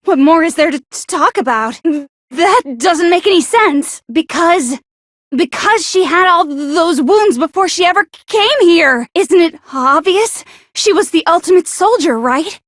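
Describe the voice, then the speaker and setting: An actress playing a paranoid, lovestruck teenage schoolgirl with a sharp tongue in an anime video game, stammering and accusatory in her dramatic delivery. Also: very high voice, expressive, a little slow, dry recording, clean studio sound, heavily acted. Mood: snide, argumentative.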